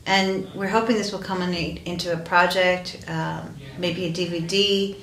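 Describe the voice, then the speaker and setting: Low-voiced woman in an echoey room. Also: steady tone, moderate pace, a bit bright. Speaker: professor being interviewed. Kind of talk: spontaneous interview answer. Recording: roomy echo, faint noise.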